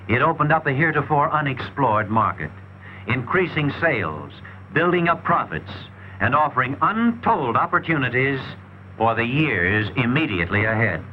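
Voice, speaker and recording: Noisy vintage soundtrack, animated male promotional narrator. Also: medium pitch, normal speed. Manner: emphatic, rhetorical, salesmanlike delivery. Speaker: training film narrator. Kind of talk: scripted 1940s promotional film narration. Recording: dull, noisy 1940s film soundtrack.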